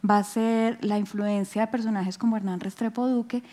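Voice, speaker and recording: A woman speaks as a lecturer. Her tone is mellow and relaxed, at a middling pitch. She explains things informally and often rounds off a point with a short checking question. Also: moderate pace. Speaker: lecturer, music researcher. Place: auditorium stage at a book fair. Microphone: headset.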